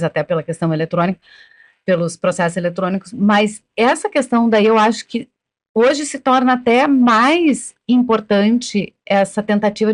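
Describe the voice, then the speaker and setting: Woman, guest lawyer, thoughtful and hesitant, mid-pitched voice. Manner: thoughtful, explains with many fillers and restarts. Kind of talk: casual interview chat.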